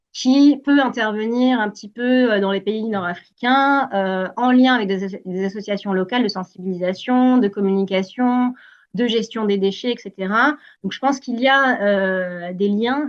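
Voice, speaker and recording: Guest, woman, 40s, from Algeria: earnest, halting interview answers, mid pitch, a bit lo-fi. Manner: earnest, many hesitations and restarts. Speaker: Greenpeace campaigner, guest.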